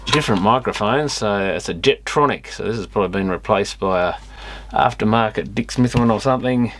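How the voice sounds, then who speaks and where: Male, lowish voice, casual hands-on vlogger muttering as he tinkers; noisy camera-mic audio with clicks. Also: brisk, fairly bright tone. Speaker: electronics repair vlogger. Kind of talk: casual off-the-cuff commentary while inspecting gear. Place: electronics repair workshop.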